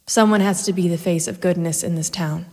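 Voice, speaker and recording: Low-voiced grim female private eye. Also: fairly even intonation, darkish tone. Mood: brooding, grim. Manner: hardboiled, deliberate, brooding. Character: grim vengeful female private investigator narrating her own violence. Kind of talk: noir audio drama narration.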